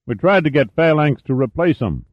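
A man's voice: fairly high pitch, expressive, normal speed, pitched above the performer's own voice. A man voicing a friendly, canny small-town veteran with rustic, ungrammatical speech in an audiobook. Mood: folksy, matter-of-fact. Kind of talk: audiobook character dialogue. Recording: dull, roomy, echoey sound.

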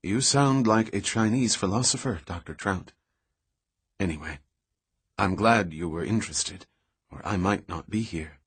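A man voicing a guarded, tired female research scientist in an audiobook, with a measured, even delivery. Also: sits low, breathy edge, heavily acted. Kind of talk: audiobook dialogue reading.

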